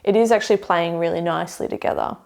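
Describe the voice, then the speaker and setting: A young woman seated in a living room, giving a measured, detailed review of speakers to camera. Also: fairly low pitch, vocal fry, darkish tone. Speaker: audio equipment reviewer. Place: living room with hi-fi shelves, indoors.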